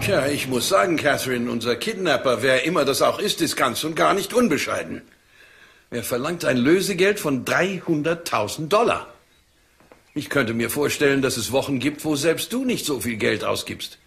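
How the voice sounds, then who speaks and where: An actor playing a mocking, urbane older uncle with a haughty, pompous manner in a German crime audio drama. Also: male voice, mid-range voice, lively intonation, moderate pace, vocal fry, fairly bright tone. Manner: sardonic, pompous.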